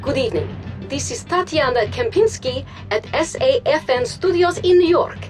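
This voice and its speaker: An actress playing a poised Soviet propaganda news anchor in a video game, formal and polished in an official broadcast style. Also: female voice, high-pitched, expressive, normal speed, soft background music. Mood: composed, official. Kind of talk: scripted TV news broadcast acting.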